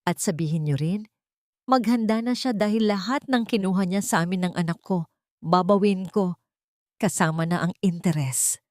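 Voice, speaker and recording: A woman narrator plays a betrayed mother in an audio drama. She is brave and defiant, with bold, threatening words in a lowish voice, recorded dry. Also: normal speed, darkish tone, dry recording, close to an everyday voice. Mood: defiant, angry.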